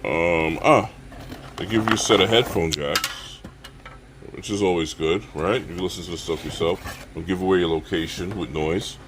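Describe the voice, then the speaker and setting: A man hosting a casual, unscripted gear review, talking straight to viewers and often checking in with a quick okay or all right. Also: sits low, slight background noise. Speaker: survival channel host reviewing gear.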